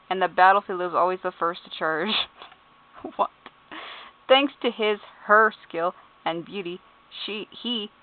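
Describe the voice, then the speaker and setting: A woman at home giving casual, amused commentary as she reads game character bios, spelling out names letter by letter, laughing and swearing. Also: mid-range voice. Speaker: YouTuber. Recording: tinny phone-like sound.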